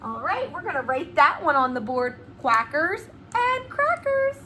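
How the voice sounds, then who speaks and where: Female preschool teacher, high and very lively, slow deliberate diction, faint background noise. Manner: enunciates words deliberately, asks the children questions. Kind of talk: animated read-aloud lesson for young children.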